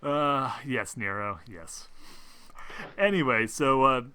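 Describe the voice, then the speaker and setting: A man's voice: mid-range voice, takes it slowly, sharp tone. A man acting as game master, narrating and explaining rules in a casual, rambling, informal way.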